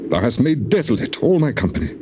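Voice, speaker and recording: A man's voice: medium pitch, very animated, fast talker, close to an everyday voice. An actor playing a grieving, embittered man of the Bible in a solemn, declamatory theatrical recitation of old scripture. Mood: bitter, weary. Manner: solemn, declamatory, archaic phrasing. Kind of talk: dramatised King James scripture, theatrical recitation. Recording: noisy old cassette tape.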